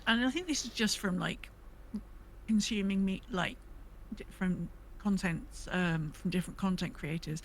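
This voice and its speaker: A woman's voice: medium pitch, a bit bright, a little hiss or hum. A woman co-hosting a podcast, chatting casually about gaming. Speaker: podcast co-host. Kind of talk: casual discussion of a video game.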